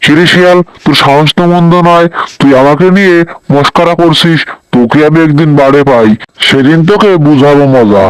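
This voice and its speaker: Guy doing a bossy cartoon tiger uncle, highish clean voice, scolding, dry sound. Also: fairly quick, smooth, no room sound, close to an everyday voice, low-quality recording. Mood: indignant. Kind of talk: cartoon voice acting.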